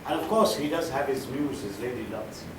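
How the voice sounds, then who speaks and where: A man presenting poetry informally to an audience in an emphatic, halting way. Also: medium pitch. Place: large echoey room at a live event. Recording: echoey room, distant mic, loud noise.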